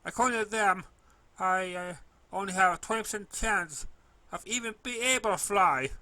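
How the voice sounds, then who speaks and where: A man acts out a young pegasus filly in a story, upset and defensive. The voice is raised well above an adult man's, forceful and sharp, with tearful, indignant outbursts and a gloomy temper. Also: high voice, moderate pace, dry recording, pitched above the performer's own voice. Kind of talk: amateur story reading in character.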